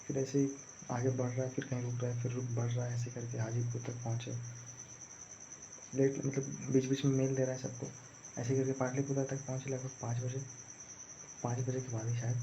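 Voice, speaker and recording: A man's voice: mid-range voice, level tone, fairly quick, pauses often, mellow. A young man telling a story casually, in a rambling, repetitive way. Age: young adult. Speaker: vlogger recounting own exam day. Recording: muffled lo-fi audio, faint background noise.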